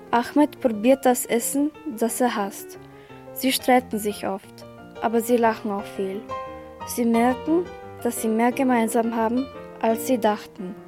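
A woman's voice: fairly high pitch, dark tone. A young female school pupil reading out the scripted narration of a radio play. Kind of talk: scripted story narration, read aloud.

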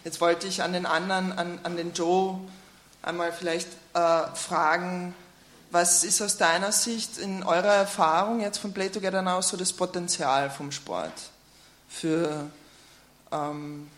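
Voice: medium pitch, steady tone, unhurried, a bit bright. An interviewer, asking long questions with many fillers and restarts. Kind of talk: radio interview. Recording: echoey room, faint noise.

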